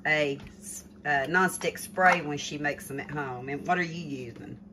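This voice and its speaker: An older woman chatting casually from behind a phone camera in a home kitchen, gently coaxing a child with tag questions while filming. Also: low voice, normal speed, noisy background. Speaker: vlogger filming a grandchild cooking. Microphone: phone camera held by the speaker. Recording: kitchen clatter of pots and dishes.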